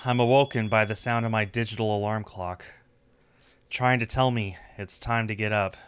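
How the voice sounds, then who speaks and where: A man's voice: on the low side, fairly even intonation, moderate pace, clean tone. A man hosting a game livestream, chatting casually and playfully and reading game text aloud. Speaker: game streamer, host.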